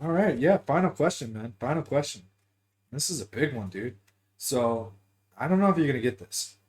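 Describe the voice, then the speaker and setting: A man's voice: medium pitch, lively intonation, moderate pace, darkish tone. A man hosting a casual gaming talk show, chatting informally with plenty of slang and swearing. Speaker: podcast host.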